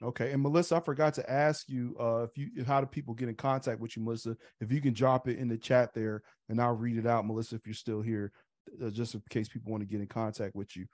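A man's voice: mid-range voice, steady tone, fast talker, darkish tone, no room sound, studio quality. A man in his thirties hosting an online meetup from a room at home, talking in a casual, easygoing way with restarts and uhs. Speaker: host.

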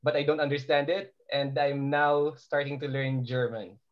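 A man's voice: medium pitch, little pitch movement, moderate pace. A man answering on a webinar panel, playful and slipping into laughs between words. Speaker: medical director panelist. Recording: dull lo-fi video call audio.

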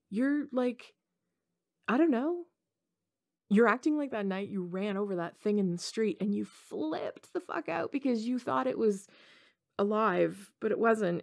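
A woman's voice: mid-range voice, creaky, a little mellow. A woman playing a playful, teasing college-age tomboy gamer girl in an intimate ASMR roleplay, talking casually in slang with hesitations. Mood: teasing, curious.

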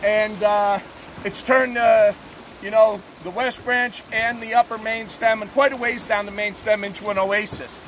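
A man with a fairly high voice talks in an easygoing, unpolished way, with plenty of "uh"s, as he reports on the fishing conditions. He speaks outdoors from a boat to a camera close by, and faint rushing river noise sits under his voice. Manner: relaxed, plainspoken, filler-heavy. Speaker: fishing guide. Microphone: camera mounted on the boat at arm's length. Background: rushing river water.

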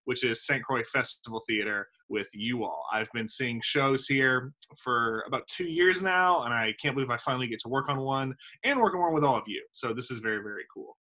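A man in his thirties, originally from South Carolina, talks casually about his work over a video call from home. He fills pauses with ums and jokes at his own expense. His voice is bright and forward. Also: medium pitch, rough recording. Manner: chatty and self-deprecating, with many ums and uhs. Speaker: theater director and playwright. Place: home room with windows. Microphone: video call laptop mic.

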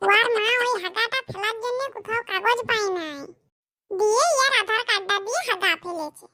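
A very high voice doing a jittery goat thief. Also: a little fast, bright, forceful tone. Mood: rambling. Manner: rambling, pleading. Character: nervous young man who stole a goat, rambling excuses. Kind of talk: cartoon comedy dialogue.

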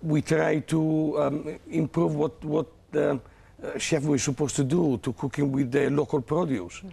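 A middle-aged man with a strong Italian accent and a husky, gravelly voice. He talks in halting, broken English, pausing often to find his words. He sits on a studio sofa as a guest chef. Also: a little high, fairly even intonation, warm tone. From Italy. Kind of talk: casual television interview. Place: morning television studio sofa.

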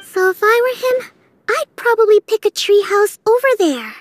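A woman voicing a polite, thoughtful young female strategist in a video game, measured in manner. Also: very high-pitched, lively intonation, dry recording, studio quality. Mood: confident.

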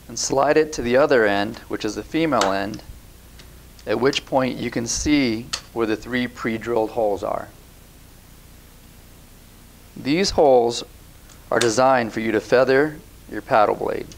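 A man in his thirties giving calm step-by-step product instructions on a lapel mic, recorded in a bare indoor room. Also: mid-range voice, gravelly, slight background noise. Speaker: product demonstrator. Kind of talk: scripted instructional voice-over.

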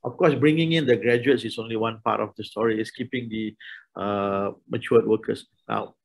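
Middle-aged male moderator on a video call; gravelly, hesitant delivery. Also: lowish voice, fairly even intonation, normal speed, low-quality recording. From Malaysia. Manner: hesitant, many restarts. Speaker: panel moderator. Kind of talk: online panel discussion. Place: small room indoors.

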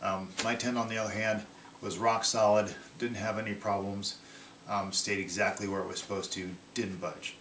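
A man in his thirties casually showing his outdoor gear from a table in his living room, pausing often with ums and restarting his sentences. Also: lowish voice, flat delivery, fairly bright tone, echoey room, distant mic, slight background noise. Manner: halting, many ums and restarts.

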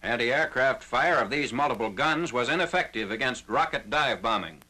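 A man's voice: mid-range voice, expressive, moderate pace, pushed and bright. A man reading a scripted 1940s military newsreel narration in a formal, factual manner. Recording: noisy 1940s newsreel soundtrack.

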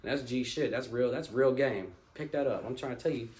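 A man's voice: mid-range voice, darkish tone. A man in his early twenties from Tulsa, Oklahoma, talking as a guest in a casual podcast interview, emphatic and profane, in a living room. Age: early 20s. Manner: emphatic and profane, rapid run-on sentences. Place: living room couch, indoors.

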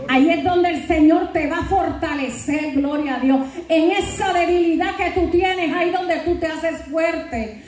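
A woman's voice: very high voice, a little slow, a little mellow, slight background noise. A middle-aged woman pastor preaching a fervent sermon, peppering her words with hallelujahs and praise. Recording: very echoey hall, voice from far off.

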